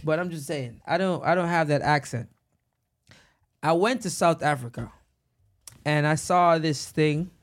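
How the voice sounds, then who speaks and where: Male host, higher register, casual discussion, animated storyteller. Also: a little slow, pauses often. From Jamaica.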